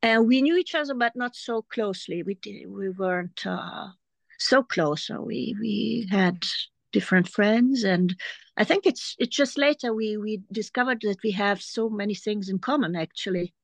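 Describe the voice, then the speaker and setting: A woman's voice: mid-range voice, normal speed, vocal fry, no room sound. A woman in her forties from Germany, a German speaker talking in English as a podcast guest, hesitant with many ums and restarts. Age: 40s. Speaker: interview guest. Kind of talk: casual conversation.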